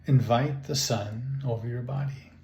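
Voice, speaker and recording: Slow-spoken man guiding meditation, roomy echo. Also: medium pitch. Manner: calm, soothing, measured with long pauses. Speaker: guided imagery and relaxation instructor. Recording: roomy echo, faint background noise.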